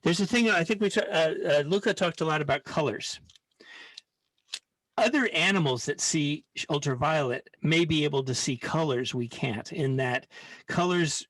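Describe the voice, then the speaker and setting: A man's voice: on the high side, lively intonation, moderate pace, a bit bright, dry recording, poor audio. A man leading an informal live online science lesson in a hesitant, rambling way, often restarting his sentences. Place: online video call.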